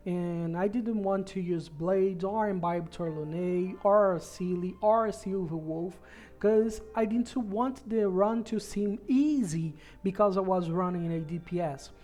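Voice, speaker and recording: A man's voice: high-pitched, slow pace, warm tone, faint music behind. A man from Brazil with a Brazilian accent and Portuguese as his first language, earnestly explaining a game strategy in a casual tutorial and repeating words for emphasis. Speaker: gaming guide creator.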